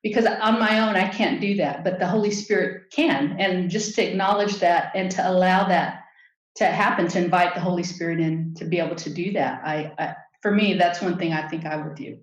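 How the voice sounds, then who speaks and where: Earnest low-voiced woman in echoey room. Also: normal speed. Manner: hesitant, earnest with restarts. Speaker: co-host. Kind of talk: reflective faith sharing. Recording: echoey muffled room audio.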